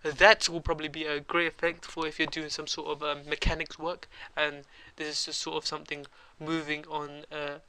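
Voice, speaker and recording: Young guy with a cold hosting a tutorial, rambling and casual; fairly high, crisp and clear voice. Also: completely flat delivery, fairly quick, few pauses, bright, forceful tone. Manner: rambling, lots of ums and uhs. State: has a cold. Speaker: tutorial host. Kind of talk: casual screen-recorded software tutorial.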